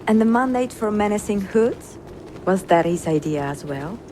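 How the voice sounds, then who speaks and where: An actress playing a cultured, curious, gently teasing traveler in a game cutscene. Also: female voice, medium pitch, animated, normal speed, a little hiss or hum, natural voice, poor audio. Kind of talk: dramatic game cutscene dialogue.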